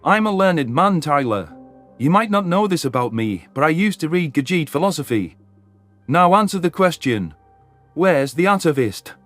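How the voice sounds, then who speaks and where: A man's voice: on the high side, very animated, moderate pace, fairly bright tone, faint music behind, pitched above the performer's own voice. A man voicing a proud, brooding alien veteran captain with formal, wry speech in a sci-fi audio story. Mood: haughty, dry.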